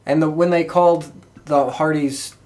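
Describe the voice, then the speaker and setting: A man hosting a podcast in casual conversation, with a rambling, halting delivery. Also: fairly high pitch, fairly even intonation, darkish tone. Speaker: podcast host. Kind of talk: casual chat.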